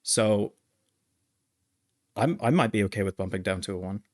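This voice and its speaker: Male film podcast host, lower register, dry sound, conversational delivery with firm opinions. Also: moderate pace, a little mellow, no room sound. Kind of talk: casual movie discussion.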